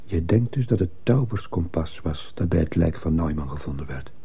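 An actor playing a troubled schoolteacher in a radio drama, narrating his own story in a grave, measured, introspective way. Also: low voice, lively intonation, creaky, mellow, breathy edge, exaggerated voice. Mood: probing. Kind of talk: radio drama acting and first-person narration.

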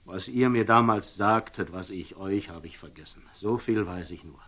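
An actor playing a grave, pious, devoted father in a classical radio drama, declaiming measured verse. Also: on the low side, fairly bright tone. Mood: grieving, hushed.

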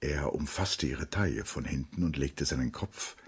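A man narrating as a grave, literary storyteller in a German radio drama, with a low, husky voice. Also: moderate pace, exaggerated voice. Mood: grave, descriptive.

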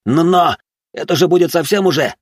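A man voicing a flustered, helpless assistant who yells stammering protests. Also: on the high side, brisk, no echo, clean studio sound, voice pushed up, close to an everyday voice. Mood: panicked protest.

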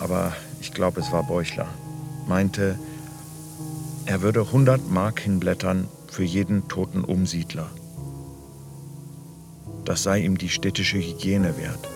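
An actor playing a sober, probing grown son who speaks and narrates matter-of-factly in a radio play. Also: male voice, sits low, vocal fry, full character voice. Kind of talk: radio play dialogue and first-person narration.

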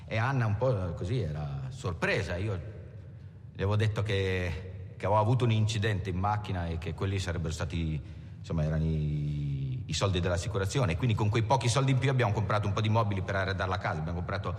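Low-voiced, understated robber. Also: male voice, lively intonation. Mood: matter-of-fact. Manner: halting, understated, conversational. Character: matter-of-fact robber confessing his secret life. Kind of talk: dramatised first-person testimony. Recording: reverberant hall, faint noise and rumble.

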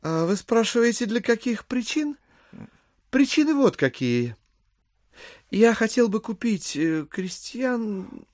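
A courteous, scheming gentleman caller in an audio drama. His voice is fairly high and lilting, and he picks his words slowly, with careful deference. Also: big pitch swings, no echo, studio quality, exaggerated voice. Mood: careful, probing. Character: smooth, courteous, calculating gentleman visitor with a scheme.

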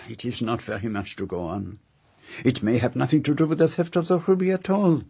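An actor playing a courteous, inquisitive foreign detective with a French-speaking accent, formal and precise in a radio drama. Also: male voice, mid-range voice, animated, moderate pace, vocal fry, darkish tone, breathy edge, full character voice. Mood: questioning, reluctant. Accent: French-speaking European. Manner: formal, precise, hesitant politeness. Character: courteous, inquisitive foreign male detective, middle-aged or older. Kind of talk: radio drama dialogue and first-person narration.

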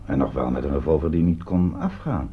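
A probing police detective with a deep voice. He questions with dry sarcasm and loaded hesitations. It is an old radio play, muffled and noisy. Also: fairly quick. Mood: pointed. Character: sardonic, probing male police detective commissioner. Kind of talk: radio drama acting.

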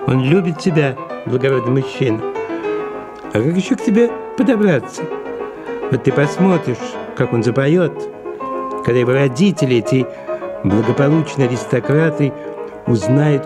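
A man's voice: mid-range voice, expressive, normal speed, loud music behind the voice, natural voice. A man acting a haughty middle-aged French mother in an audio drama, scolding and full of melodramatic, self-pitying indignation. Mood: scornful.

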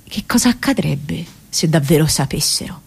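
An earnest, troubled young woman activist speaks her diary as intimate radio theatre. Her voice sits a little low for a woman. She halts and searches for words as she goes. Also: expressive, vocal fry, a little mellow, a little hiss or hum. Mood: indignant, pained. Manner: hesitant, halting, searching for words.

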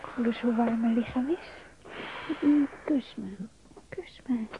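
A woman's voice: a little high, fairly even intonation, takes it slowly. An actress playing a passionate, yearning young bride in a radio drama, sighing as she talks. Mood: amorous, yearning. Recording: dull radio recording, faint noise.

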